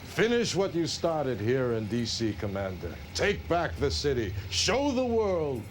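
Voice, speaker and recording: An actor playing a polished political director, speaking emphatically and like an orator in a war game cutscene. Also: male voice, medium pitch, huge pitch swings, a little slow, a little hiss or hum. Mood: resolute, rallying. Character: smooth-talking male political director rallying for war. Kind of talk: rallying address in a video game cutscene.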